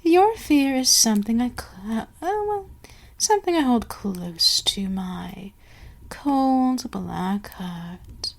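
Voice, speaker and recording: A woman playing an imperious, seductive and menacing vampire queen in an ASMR audio roleplay, haughty and deliberate, with some words sinking into a whisper. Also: fairly high pitch, lively intonation, vocal fry, heavily acted. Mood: commanding, menacing. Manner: slow, deliberate, haughty, commanding, dropping into whispers.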